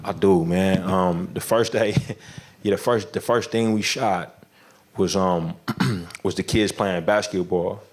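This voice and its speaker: A man with a fairly low voice talks through his answers in a relaxed interview. He stumbles and restarts often, fills gaps with "you know", and breaks into laughs along the way. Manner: halting, many restarts and fillers, laughs often. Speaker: film director guest. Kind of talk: casual interview conversation.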